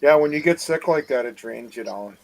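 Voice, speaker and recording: A man with a Wisconsin accent in a casual live chat over a video call, talking haltingly and often repeating his words. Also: mid-range voice, expressive, vocal fry, a crowd in the background, poor audio.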